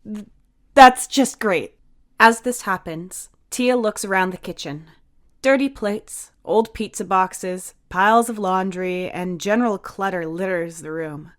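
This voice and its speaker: A woman's voice: mid-range voice, unhurried, fairly bright tone. A woman narrator reading a scripted fictional story.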